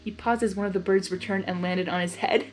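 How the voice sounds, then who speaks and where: A woman reading fan fiction aloud as expressive narration, with casual chatty asides. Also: mid-range voice, fast, faint music behind, rough recording.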